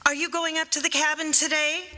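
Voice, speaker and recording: Woman acting a high, very bright clingy sweetheart. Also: flat delivery, fairly quick. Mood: sweet. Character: clingy, adoring young fiancée, sweetly insistent. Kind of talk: old-time radio play acting. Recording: stage performance in reverberant hall.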